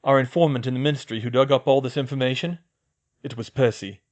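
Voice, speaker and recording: A man's voice: medium pitch, steady tone, fast, darkish tone, natural voice. A man voicing a modest teenage boy who speaks plainly and hesitantly. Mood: earnest.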